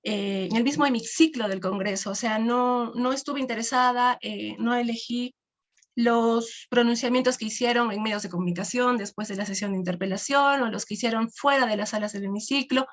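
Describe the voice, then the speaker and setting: A woman from Peru with a Peruvian accent, giving an academic research presentation over a video call, halting, with many "este" fillers and tag questions. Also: on the high side. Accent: Peruvian Spanish.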